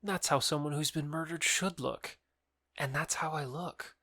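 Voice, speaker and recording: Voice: medium pitch, moderate pace, pushed and bright, dry recording, clean studio sound. An actor playing a lovesick, bitter young man rejected by his beloved, earnest and wounded, in an audio drama. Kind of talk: theatrical audio drama acting.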